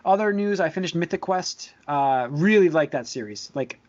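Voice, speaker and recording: Higher-voiced man podcasting, slightly muffled. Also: animated, darkish tone. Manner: halting, hedging, repeats words. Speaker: podcast host. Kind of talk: casual chat about movies and shows. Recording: dull lo-fi voice recording.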